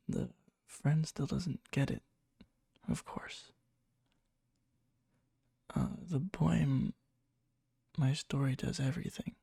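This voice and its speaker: A man playing a needy, lovesick, obsessive young friend in a soft, breathy ASMR roleplay, hesitant and coy. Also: medium pitch, no room sound, clean studio sound, heavily acted. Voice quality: breathy, soft, fry-edged. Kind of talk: whispery ASMR roleplay, intimate storytelling.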